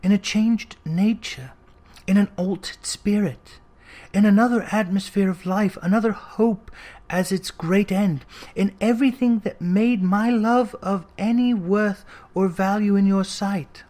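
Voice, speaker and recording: A narrator voicing a gentle, dignified young woman who speaks with earnest sorrow and resolve in an old storybook drama. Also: medium pitch, unhurried, voice pushed up, close to an everyday voice. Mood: pained resolve. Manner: earnest, soft-spoken, dignified.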